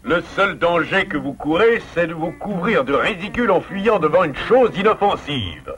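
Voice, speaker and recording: A learned adult beaver in a children's cartoon. He is self-assured and didactic, with a fairly high, lively voice that moves widely. Soft music sits behind him. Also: normal speed. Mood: firm, reassuring. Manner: articulate, didactic. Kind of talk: French animated series dubbing.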